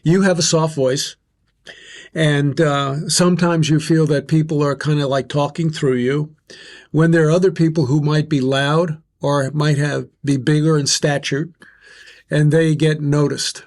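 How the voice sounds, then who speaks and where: An older man leads a group talk in a loud, instructive way, counting off his points as he goes. His voice sits a little high for a man. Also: fairly even intonation, a little slow. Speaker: host. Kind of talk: discussion facilitator.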